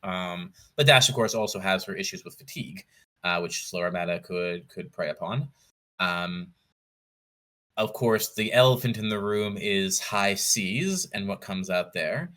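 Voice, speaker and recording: A man talking casually as an interview guest, in a measured, analytical way, thinking aloud as he goes. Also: deep voice, expressive, a bit bright. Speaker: podcast guest, competitive card game player.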